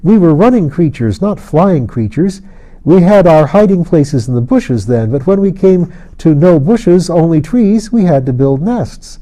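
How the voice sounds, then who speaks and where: Guy doing eager little storybook kid, higher voice, chattery and insistent, explaining stuff simply. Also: lively intonation, unhurried, dark tone, rough recording. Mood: excited, proud. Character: lively, eager small forest children, chattering and earnest. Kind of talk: audiobook character voice.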